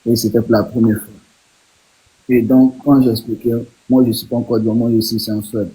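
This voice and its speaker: A man on a live stream, telling how his younger sister went missing, speaking haltingly and repeating words. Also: mid-range voice, flat delivery, warm tone, husky, rough recording.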